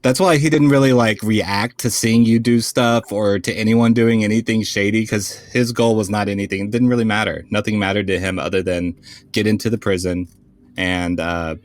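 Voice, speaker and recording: Lower-voiced man chatting casually. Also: steady tone, normal speed, no echo. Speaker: podcast player and musician. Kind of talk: casual chat.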